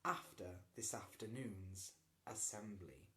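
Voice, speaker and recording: A reader voicing a sinister, soft-spoken male headmaster in a slow, murmuring, menacing way. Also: deep voice, echoey room.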